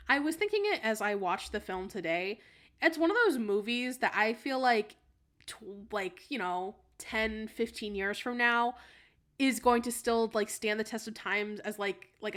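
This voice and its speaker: A woman's voice: on the high side, expressive, moderate pace, a bit bright. A young American woman making a case in casual podcast talk, rambling and repeating words as she goes. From United States. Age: young adult. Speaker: podcast panelist.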